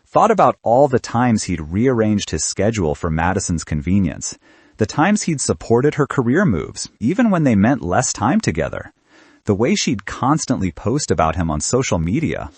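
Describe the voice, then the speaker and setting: A man reads a novel as an audiobook narrator. His lowish voice is husky and very expressive in pitch, recorded close and dry. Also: normal speed, no room sound. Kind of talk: scripted fiction narration.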